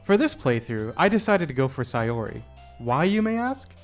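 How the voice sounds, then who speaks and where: A man's voice: fairly high pitch, big pitch swings, moderate pace. Young man narrating over background music. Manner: self-deprecating, jokey. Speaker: YouTube video essayist. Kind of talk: scripted narration with comedic asides.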